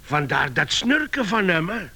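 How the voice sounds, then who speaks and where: Flustered earnest man, bright edgy voice, hesitant, stammering, insistent, radio comedy acting. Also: sits high, sing-song, measured pace, vocal fry, exaggerated voice. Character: Sam, earnest flustered visitor defending his inventor friend.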